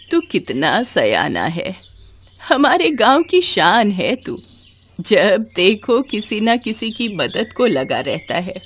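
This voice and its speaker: A woman's voice: lowish voice, slight background noise. A woman voicing a kindly, affectionate old village woman in a story, doting and grateful. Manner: doting, blessing.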